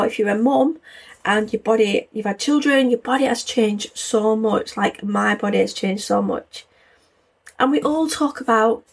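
Brief: woman, 40s, solo podcast host, breathy voice, slightly high, loose chatty delivery. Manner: chatty and rambling, many fillers. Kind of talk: casual solo podcast chat.

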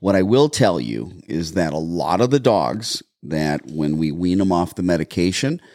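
Low-voiced, animated male dog trainer. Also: moderate pace, dry recording, studio quality. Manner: explanatory, emphatic, with many ums. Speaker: dog trainer, podcast co-host. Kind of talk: casual podcast chat.

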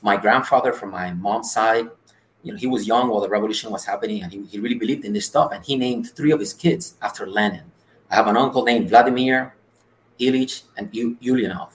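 A man's voice: fairly low pitch, fairly quick. A man in his forties, born in Cuba, telling earnest stories as an interview guest. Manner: earnest, anecdotal storyteller. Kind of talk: casual interview storytelling. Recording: roomy echo.

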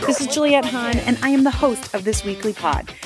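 Upbeat woman host, scripted show opener, loud music bed. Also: fairly high pitch, a little fast. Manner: upbeat, promotional. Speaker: podcast host. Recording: intro read over loud music.

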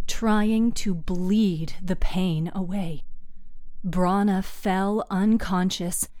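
A woman narrating a scripted fantasy story in a measured, dramatic way, with hushed phrase endings. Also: mid-range voice. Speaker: podcast host and storyteller.

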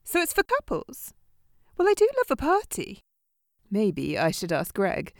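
A woman's voice: medium pitch, wildly swooping pitch, a little slow, dry recording, studio quality. A woman playing a vain, flirtatious, competitive glamorous friend in an audio drama, theatrical and teasing. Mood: arch, indignant.

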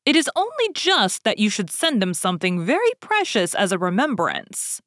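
A woman reading aloud gives voice to a stern male beast who commands rather than rages. Every line lands loud, formal and stately, in a close, dry recording. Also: medium pitch, wildly swooping pitch, normal speed, dry recording. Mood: stern, commanding. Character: gruff commanding male beast, stern but not angry. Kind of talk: storybook reading.

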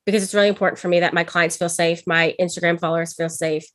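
A woman's voice: fairly low pitch, steady tone, fast talker, no room sound. A young woman speaking bluntly and candidly as a guest in a casual interview, swearing freely. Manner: outspoken, blunt, frequent swearing. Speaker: podcast guest, business owner.

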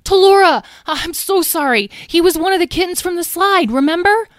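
A woman voicing an excitable, apologetic boy adventurer in a children's story, with an earnest, flustered, rambling manner. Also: very high-pitched, moderate pace, no echo, clean studio sound, pitched above the performer's own voice. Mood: apologetic, pleading.

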